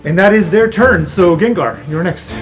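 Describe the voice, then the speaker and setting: Tabletop game master, mid pitch, casual and sweary narration, clear music bed underneath. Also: moderate pace. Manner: casual, profane.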